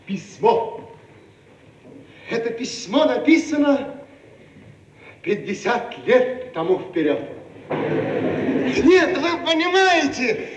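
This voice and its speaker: An actor playing an excitable, eager inventor in a mid-century Soviet radio play, with dramatic, emphatic delivery. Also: male voice, very high-pitched, heavily acted. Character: excitable eager inventor marvelling at his time machine. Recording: echoey, distant, hissy old radio-play tape.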